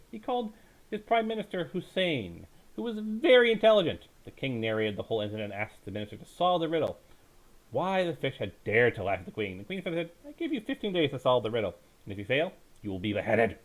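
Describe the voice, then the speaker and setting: A man's voice: medium pitch, poor audio. A man reading a bedtime story aloud in a dramatic storytelling style, stumbling now and then over the text. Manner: halting, stumbles and corrects himself while reading. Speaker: storyteller, reader.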